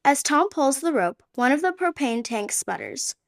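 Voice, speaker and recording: A woman narrating a radio play in a steady, descriptive way. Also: sits high, normal speed, creaky, no echo, studio quality. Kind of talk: scripted narration.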